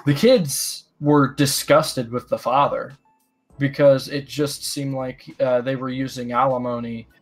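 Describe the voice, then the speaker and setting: A man in a casual livestream discussion, explaining his opinions in a halting way and repeating phrases. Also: mid-range voice, fairly even intonation, soft background music. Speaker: livestream talk participant.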